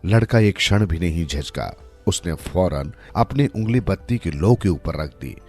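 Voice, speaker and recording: A man with a low-pitched voice tells moral stories from a script. His delivery is measured and expressive, with lively swings in intonation, and faint music plays underneath. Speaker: storyteller narrator. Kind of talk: scripted narration of moral tales.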